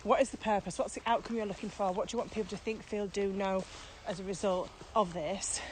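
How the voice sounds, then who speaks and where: A woman's voice: mid-range voice, steady tone, quick pace. British woman explaining, with background noise. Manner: emphatic, repeats key phrases, poses rhetorical questions. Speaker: podcast co-host and virtual training expert. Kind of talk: casual podcast conversation, explaining.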